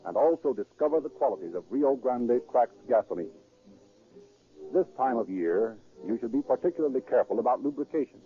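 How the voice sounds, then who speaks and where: A man announcing and narrating in formal, emphatic 1930s radio style, with a deliberate scripted delivery. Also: mid-range voice. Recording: tinny, narrow-band 1930s radio recording.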